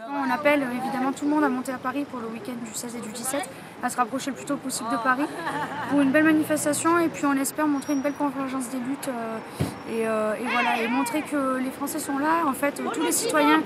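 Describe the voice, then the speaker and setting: A woman with a high voice speaks quickly, urging people to join in. A loud crowd presses in behind her voice throughout. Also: rough recording. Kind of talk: appeal to the public.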